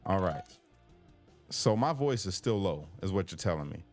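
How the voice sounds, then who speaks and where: A man's voice: low-pitched, expressive, normal speed, faint music behind. A man hosting a live call-in stream, chatting casually, joking and teasing, reading chat comments aloud. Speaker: live show host. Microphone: headphones with computer mic.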